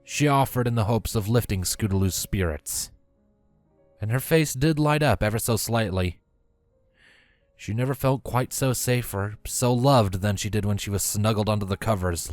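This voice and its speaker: A man's voice: on the low side, no room sound. A man reading a fan fiction story aloud as scripted third-person narration.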